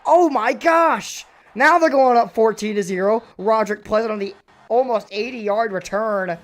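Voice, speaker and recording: A young man doing play-by-play commentary on a video game football match, excitable and a little raspy, his voice jumping on big plays. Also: moderate pace. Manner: excitable, voice jumps high and loud on big plays.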